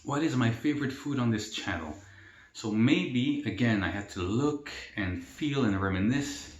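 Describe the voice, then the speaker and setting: A young man from El Salvador, a mukbang vlogger, answering viewer questions in a relaxed, rambling way with many fillers. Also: mid-range voice, normal speed. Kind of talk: casual Q&A while eating. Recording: roomy echo.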